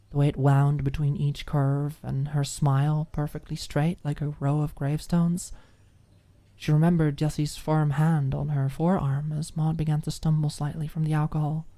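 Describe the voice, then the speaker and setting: A woman's voice: sits low, level tone, moderate pace, mellow, no room sound. A woman narrating a scripted story in an ASMR audiobook style.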